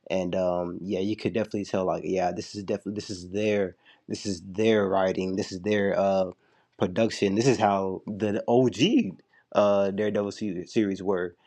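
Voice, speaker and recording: A young man with a low, deep voice talks through a casual review, slightly under the weather. His delivery is loose and rambling, peppered with "like" and half-finished restarts. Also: fairly even intonation, moderate pace, darkish tone. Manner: loose and chatty, full of "like" and restarts. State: a little sick. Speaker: show reviewer.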